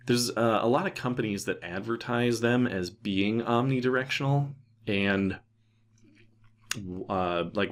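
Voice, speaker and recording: A man giving an unscripted technical tutorial, thinking aloud and explaining in a hesitant way. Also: on the low side. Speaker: tutorial presenter.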